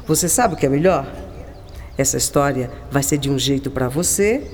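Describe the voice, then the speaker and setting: A woman playing a gentle, philosophical writer in a radio drama, talking in a musing, affectionate and expressive manner. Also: very deep voice, moderate pace. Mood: reflective. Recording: long reverb, clear background noise.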